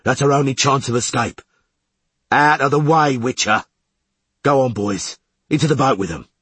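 A man voicing a callous, commanding leader of mutinous sailors in an audiobook, forceful and ordering. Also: medium pitch, moderate pace, husky, dry recording, pitched above the performer's own voice. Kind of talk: audiobook character acting.

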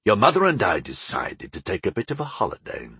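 A man voicing a portly, proud older baker father in an audiobook, gruff and resolute, explaining things without hurry. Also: lowish voice, huge pitch swings, a bit bright, no room sound, full character voice. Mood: grumbling. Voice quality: gruff, grumbling. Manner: unhurried, explaining, homespun wisdom. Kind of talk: audiobook character dialogue.

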